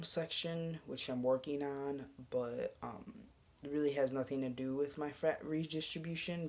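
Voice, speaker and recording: A young man talking casually to his camera in a video diary, relaxed and rambling with lots of ums and the odd whispered aside. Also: mid-range voice. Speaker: vlogger.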